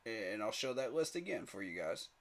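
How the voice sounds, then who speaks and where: A man's voice: fairly low pitch, very animated, normal speed, vocal fry, a bit bright. A man hosting a live sports fan stream, talking straight to his chat in an emphatic, pleading way and chuckling at rival fans.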